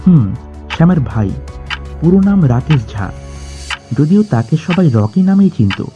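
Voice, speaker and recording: An actor playing a guarded middle-aged doctor in an audio drama, speaking in a clipped, formal way. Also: male voice, fairly high pitch, big pitch swings, unhurried. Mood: sombre, matter-of-fact. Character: curt, guarded doctor in his fifties, grieving a friend. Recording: slightly dull voice track under music. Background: background music.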